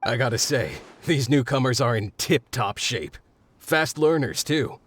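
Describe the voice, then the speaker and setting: A man's voice: medium pitch, lively intonation, a little slow, fairly bright tone, husky. A man playing an easygoing, chatty excavation worker in a video game, with a folksy, good-natured manner. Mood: admiring, mildly grumbling. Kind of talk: video game character acting.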